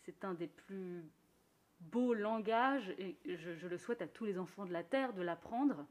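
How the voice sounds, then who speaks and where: A woman musician speaking in a thoughtful, earnest way during a radio conversation, sometimes laughing softly. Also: mid-range voice, measured pace. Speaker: musician guest. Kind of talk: reflective conversation.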